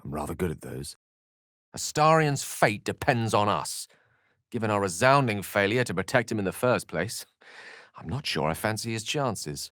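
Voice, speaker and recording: An actor playing a learned, wry wizard adventurer in a video game, with a British accent and an eloquent, theatrical way of talking. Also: male voice, lowish voice, a bit bright, no room sound, clean studio sound. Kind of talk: video game character voice acting.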